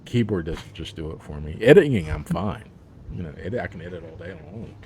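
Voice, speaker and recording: A man's voice: sits low, expressive, fairly quick, warm tone, slight background noise. A man, an author, talking about his book in a casual interview, rambling with plenty of fillers and laughs.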